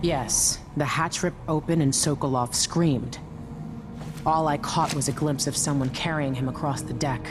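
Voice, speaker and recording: A woman playing a gruff, guarded, middle-aged ship captain with a dry, blunt delivery in a video game. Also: sits low, fairly even intonation, a little slow, over music. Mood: somber. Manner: dry, blunt, matter-of-fact. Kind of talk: dramatic game dialogue.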